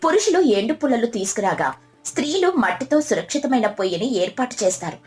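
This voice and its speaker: A woman with a fairly high voice narrates a scripted moral story. Faint music plays behind her reading. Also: very animated, quick pace, a little mellow.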